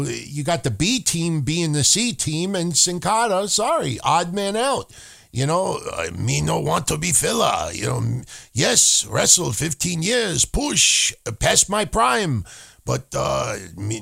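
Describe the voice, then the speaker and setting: A man co-hosting a wrestling podcast in casual, profane chat, animated and swearing freely, sometimes slipping into joking broken-English voices. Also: fairly high pitch, a little slow, gravelly, slightly rough.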